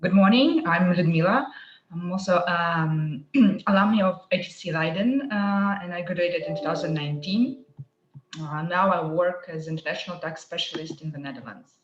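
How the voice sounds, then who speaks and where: A woman in her thirties introducing herself briskly on a video call. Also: fairly low pitch. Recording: echoey dull call audio.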